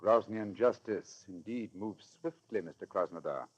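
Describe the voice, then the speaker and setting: An actor with a British accent playing a cool, analytical gentleman detective in 1940s radio drama, clipped, precise and formal. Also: lowish voice, a little slow, breathy edge, highs cut off. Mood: grave. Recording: old radio broadcast.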